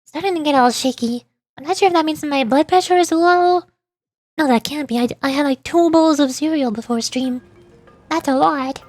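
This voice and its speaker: High-voiced woman streaming a game. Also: moderate pace, soft background music. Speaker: streamer, VTuber. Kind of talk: casual game stream commentary and chat.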